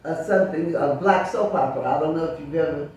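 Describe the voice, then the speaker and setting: An older woman from Chicago, a guest author, telling stories from her past in a lively interview. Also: sits low, fast talker, a little hiss or hum. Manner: storytelling, lively recollection. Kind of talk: reminiscing interview talk. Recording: echoey room, distant mic.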